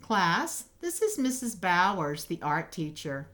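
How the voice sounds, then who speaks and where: A woman's voice: fairly low pitch, very animated, slow, a bit bright, full character voice. A woman voicing a strict female first grade teacher in a storybook, firm and instructing. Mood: announcing. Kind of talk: storybook character voice.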